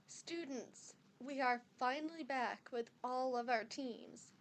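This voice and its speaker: A woman playing a formal, stern older female professor in an amateur audio drama, announcing in a measured way over a noisy home recording. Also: high-pitched. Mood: formal, proud.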